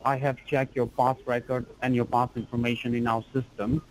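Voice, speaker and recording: A man posing as an officer on a phone call, talking formally and insistently with halting restarts. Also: medium pitch, flat delivery, slight background noise.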